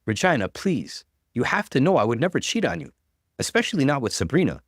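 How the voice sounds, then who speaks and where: An earnest, desperate husband in a story reading, performed by a male narrator. He protests his innocence emphatically, pitch leaping widely. The recording is dry. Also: very animated, fairly quick, no echo. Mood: desperate pleading.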